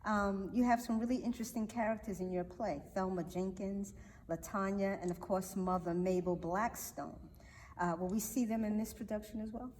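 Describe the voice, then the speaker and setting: A woman's voice: medium pitch, steady tone, moderate pace, a little mellow. A woman interviewing in a casual, folksy, affirming way, laughing along with her guests. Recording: echoey hall, faint background noise.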